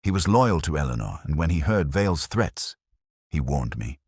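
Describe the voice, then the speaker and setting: A male narrator plays a weary old magistrate from a detective story, burdened with guilt. The voice is very low yet lifts and falls markedly, confessing in halting, formal phrases. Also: big pitch swings, breathy edge, no room sound, studio quality, exaggerated voice. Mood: bitter, subdued.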